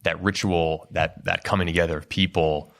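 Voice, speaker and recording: A man hosting a business podcast, asking long, winding interview questions in a measured way. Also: sits low, steady tone, fairly bright tone. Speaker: podcast host.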